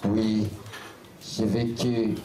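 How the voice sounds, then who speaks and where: A man's voice: fairly low pitch, flat delivery, very slow, mellow. A man reciting slam poetry at a live session. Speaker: slam poet. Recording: echoey room with slight noise.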